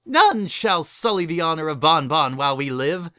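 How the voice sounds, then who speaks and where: Man doing a loud, high-pitched boisterous pony mare. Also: animated, normal speed. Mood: mock-heroic. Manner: loud, theatrical. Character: boisterous, tipsy, vengeful female cartoon pony.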